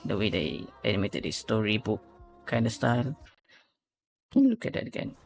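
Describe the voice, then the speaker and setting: A man's voice: on the low side, wildly swooping pitch, moderate pace, vocal fry. A man hosting a reaction video, giving casual commentary and analysis as he thinks aloud and repeats phrases. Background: faint music.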